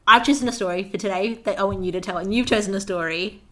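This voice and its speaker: A woman's voice: medium pitch, fast talker, bright, forceful tone. A young woman with an Australian accent, hosting a podcast in a casual, chatty style. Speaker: podcast host. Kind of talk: casual chat.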